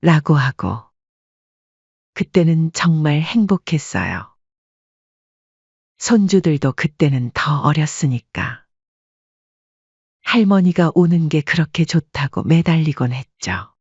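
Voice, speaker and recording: A woman's voice: deep voice, animated, takes it slowly, pauses often, darkish tone, husky, no echo, muffled recording, exaggerated voice. A woman playing a wistful widowed grandmother in her late sixties, narrating her life story from a script. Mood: wistful, aggrieved. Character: sorrowful 68-year-old widowed restaurant-owner grandmother.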